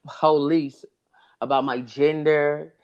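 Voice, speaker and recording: A man with a high voice, co-hosting a podcast and telling a long story in casual chat, with many restarts. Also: flat delivery, slow pace, clean tone, muffled recording. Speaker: podcast co-host.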